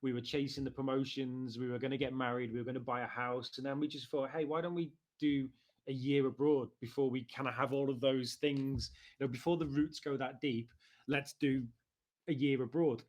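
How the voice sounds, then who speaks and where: A man's voice: mid-range voice, fairly even intonation, fairly quick, a little mellow. A man in his thirties with a Liverpool accent, chatting as a podcast guest in a rambling way with many fillers. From Liverpool, England. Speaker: interview guest. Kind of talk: casual interview chat.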